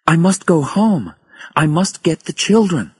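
A man's voice: a little high, big pitch swings, measured pace, breathy edge, no echo, clean studio sound, voice pushed up, exaggerated voice. A man voicing a desperate mother in an audiobook disaster story, crying out in a frantic manner. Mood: anxious.

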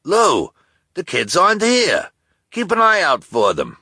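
A man voicing an excitable, gullible male shopkeeper in a storybook reading. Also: lowish voice, normal speed, gravelly, slightly rough, no room sound, clean studio sound, exaggerated voice. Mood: excited. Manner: excited, crying out.

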